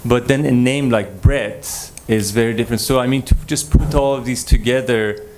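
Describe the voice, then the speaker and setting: A man's voice: medium pitch, normal speed, darkish tone. A man whose first language is Persian, asking a thoughtful, rambling question from the audience at a conference. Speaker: audience member.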